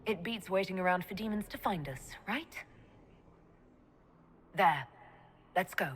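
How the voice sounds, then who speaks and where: An actress playing a sharp, pragmatic elf mage leader in a video game, dry and direct. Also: female voice, on the low side, lively intonation, measured pace, slight background noise.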